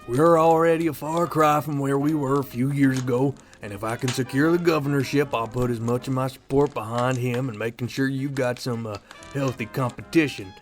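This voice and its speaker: A man's voice: mid-range voice, fairly even intonation, soft background music, close to an everyday voice. A man playing a tired, formal 1940s governor in a radio drama. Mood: courteous. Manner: formal, measured old-fashioned politician. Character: weary ambitious 1940s male governor, stiff and formal. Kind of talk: fan radio drama acting.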